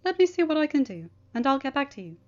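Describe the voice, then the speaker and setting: A woman's voice: high voice, big pitch swings, voice pushed up. Woman voicing a formal, courteous school principal. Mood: accommodating. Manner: formal, measured, accommodating. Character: courteous, apologetic, authoritative male school principal. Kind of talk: audiobook character voice for fan fiction. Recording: dull lo-fi home recording.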